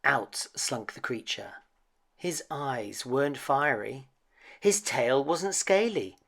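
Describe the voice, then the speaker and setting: A man reading a children's bedtime story aloud as an expressive storyteller. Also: medium pitch, slow pace, vocal fry.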